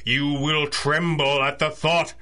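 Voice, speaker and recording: A masked spirit of vengeance in a pulp radio drama. He speaks very slowly and deliberately, with a bright, forceful edge. Every phrase is grandiose, taunting and full of menace. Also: a little high, flat delivery. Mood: menacing, grandiose. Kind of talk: 1930s-style radio drama acting.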